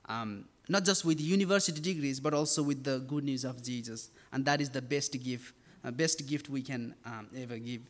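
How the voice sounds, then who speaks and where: A young man from Nepal giving a church ministry talk and sermon, earnest and halting, with many fillers and restarts. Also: on the high side. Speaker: campus ministry worker.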